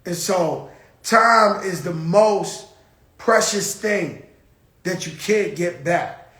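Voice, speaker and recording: A man's voice: high voice, very slow, a bit bright. Blunt, profane New man, echoey room. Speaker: show host. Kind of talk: casual monologue.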